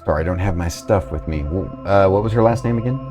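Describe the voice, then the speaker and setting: A man's voice: deep voice, fast talker, over music. A man running a tabletop game as game master, talking in a casual, rambling way.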